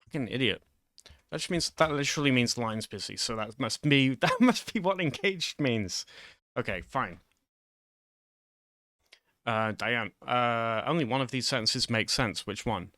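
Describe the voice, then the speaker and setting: A man's voice: medium pitch, animated, unhurried, pauses often, bright, forceful tone, no room sound. A man giving an informal, improvised lesson in a hesitant, rambling, self-deprecating way, close to the microphone. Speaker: online video host.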